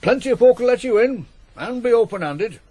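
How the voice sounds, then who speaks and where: An actor playing a kindly older farmer, gentle and plain-spoken, in a radio drama. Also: male voice, extremely high pitch, expressive, fairly quick, dry recording. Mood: genial, animated. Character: kindly old farmer encouraging his young ploughman. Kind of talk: naturalistic radio drama acting.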